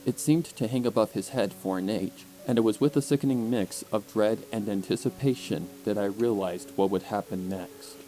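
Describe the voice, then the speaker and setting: Male audiobook narrator, fairly low pitch, theatrical dramatic read with music underneath. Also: moderate pace, few pauses, warm tone, low-quality recording. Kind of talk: dramatic scripted story narration.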